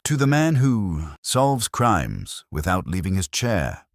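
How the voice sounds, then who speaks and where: A man plays a wry detective's assistant in a mystery audiobook, with a very deep voice. The delivery is dry and direct, with plenty of movement in pitch. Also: wildly swooping pitch, slow, dry recording, exaggerated voice. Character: wry, plain-spoken male detective's assistant. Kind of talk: audiobook detective story dialogue.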